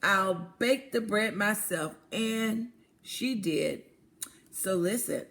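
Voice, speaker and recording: Female children's storyteller. Unhurried, gravelly edge, expressive, interactive read-aloud delivery. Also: mid-range voice. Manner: deliberate, expressive, pauses to point out rhymes and prompt listeners.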